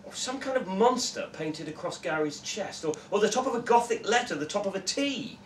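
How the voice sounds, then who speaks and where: A young man giving an expressive live reading of a short story in a small room, recorded from a few metres away. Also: high-pitched, a little fast. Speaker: story reader. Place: small indoor festival room with audience. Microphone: camera a few metres away. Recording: distant, echoey room with background noise.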